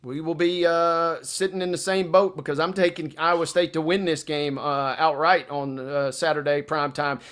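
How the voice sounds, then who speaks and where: A man's voice: on the high side, fairly even intonation, normal speed, few pauses, a bit bright, clear, resonant tone. A man co-hosting a sports show, chatting through betting picks with colloquial, playful turns of phrase.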